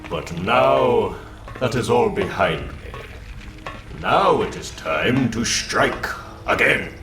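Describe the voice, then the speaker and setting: A man's voice: lowish voice, animated, slow pace. A man voicing an arrogant, scheming supervillain in a comic book dramatic reading, pompous, theatrical and cold. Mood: gloating, commanding. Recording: roomy echo under loud background music.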